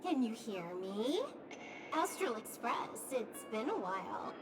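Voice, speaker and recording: An actress playing a smug, playful hacker in a video game, with a coy, theatrical, teasing delivery. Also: female voice, fairly high pitch, very animated, slow pace, poor audio. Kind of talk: dramatic video game dialogue. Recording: loud music behind. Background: game music.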